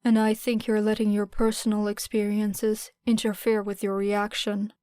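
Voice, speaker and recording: A woman voicing a composed, stubborn teenage boy in an audiobook, measured and blunt. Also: medium pitch, monotone, warm tone, clean tone, no room sound, studio quality, lightly acted. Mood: calm, firm. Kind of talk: audiobook character voice.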